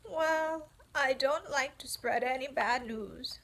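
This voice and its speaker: A woman's voice: high-pitched, slow pace, creaky, fairly bright tone, voice pushed up, exaggerated voice. A woman voicing an old female sheep who delivers bad news bluntly and matter-of-factly.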